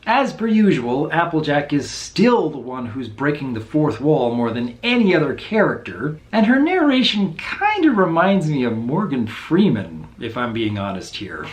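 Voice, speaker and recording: A reviewer giving casual, wry commentary on animated episodes. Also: medium pitch, moderate pace, few pauses.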